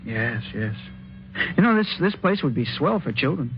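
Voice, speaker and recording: An actor playing a practical, concerned young husband, plainspoken and a little hesitant, in a 1950s radio drama. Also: male voice, mid-range voice, very animated, normal speed, soft background music, close to an everyday voice. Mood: conversational. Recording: muffled, noisy old radio recording.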